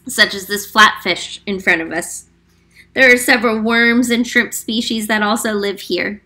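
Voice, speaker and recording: A woman reading a picture book aloud to children as a teacher, clear and explanatory, slowing down on key facts. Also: medium pitch, pushed and bright. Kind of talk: read-aloud storytime narration.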